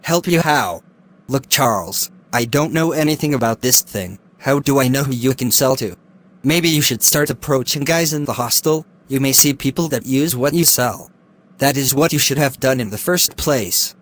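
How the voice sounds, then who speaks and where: A man's voice: medium pitch, normal speed, a bit bright, natural voice. A young man, acting a cautious student who firmly refuses to get involved. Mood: dismissive. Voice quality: firm, dismissive refusal. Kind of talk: amateur drama acting.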